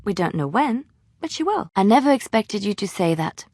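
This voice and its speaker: A romantic, devout young woman bard from a video game. Her voice sits in a middle register and is recorded dry, without room sound. She phrases things in an expressive, lilting, earnest way and is easily flustered. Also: mid-range voice, moderate pace, no echo. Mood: tender, hopeful, then gentle. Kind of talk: video game character dialogue and storytelling.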